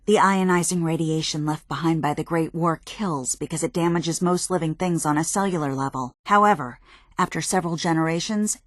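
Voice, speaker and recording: An actress playing a dedicated, drily impatient military research scientist in a video game, precise, matter-of-fact and slightly prim. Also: female voice, on the low side, dry recording, studio quality, natural voice. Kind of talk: video game character dialogue.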